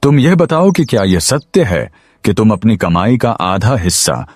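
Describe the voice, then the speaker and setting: A man's voice: fairly low pitch, very animated, normal speed, no room sound, clean studio sound, soft background music. A man voicing a stern, self-important king in a cartoon story, speaking formally and with authority. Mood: grave, questioning. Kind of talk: Hindi cartoon moral-story voice acting.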